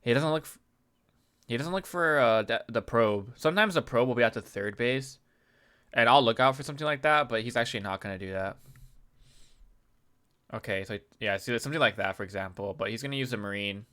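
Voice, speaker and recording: Male video host, bright nasal tone, under the weather, casual and chatty breakdown of a match. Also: medium pitch, brisk. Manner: chatty, clears throat often, restarts. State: sick, slightly nasal. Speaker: YouTube host analyzing a game replay. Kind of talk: casual game analysis commentary.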